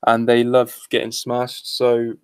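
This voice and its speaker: A man's voice: medium pitch, monotone, measured pace, smooth, no echo. A man co-hosting a fantasy football podcast in casual chat, speaking bluntly and swearing freely.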